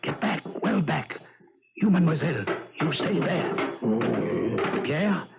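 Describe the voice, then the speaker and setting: An actor playing a shrewd, imperious detective with precise formal diction in a 1970s radio mystery. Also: male voice, fairly high pitch, slow, vocal fry, loud music behind the voice. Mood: tense, urgent. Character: shrewd, commanding male detective with a gallant streak. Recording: dull, noisy old radio broadcast.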